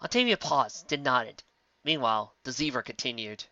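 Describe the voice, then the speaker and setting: A man reading a fanfiction story aloud in an even, steady narration on a dull lo-fi recording. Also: medium pitch, moderate pace, no echo. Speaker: fanfiction audiobook reader.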